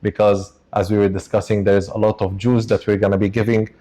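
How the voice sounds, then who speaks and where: A man's voice: on the low side, flat delivery, brisk, dark tone. A man hosting a podcast from an office, asking questions in an upbeat, eager way. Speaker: podcast host. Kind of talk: podcast interview.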